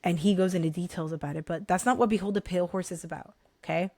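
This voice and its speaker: A young woman vlogger, hoarse from recent illness, talking casually and animatedly to her viewers about books. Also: fairly low pitch, quick pace, no echo. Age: young adult. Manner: animated, addresses viewers as guys, many restarts. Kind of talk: casual direct-to-camera talk.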